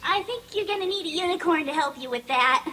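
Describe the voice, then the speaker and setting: A woman's voice: extremely high pitch, lively intonation, quick pace, heavy background noise. A woman voicing a cheerful, naive, muffin-loving Pegasus pony in a fan radio play, bubbly and simple in manner. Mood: helpful, puzzled. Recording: music behind the voice.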